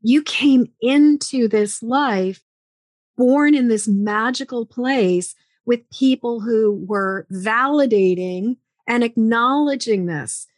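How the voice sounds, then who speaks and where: A woman's voice: fairly high pitch, slow pace, dry recording. Chatty woman podcast host, dry sound. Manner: enthusiastic, chatty, rambling with fillers. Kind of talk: casual interview chat.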